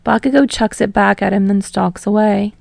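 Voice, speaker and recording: Creaky-voiced woman reading fiction. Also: mid-range voice, steady tone, moderate pace, a little mellow, no room sound, clean studio sound. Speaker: podfic narrator. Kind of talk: expressive fiction narration with casual sign-off.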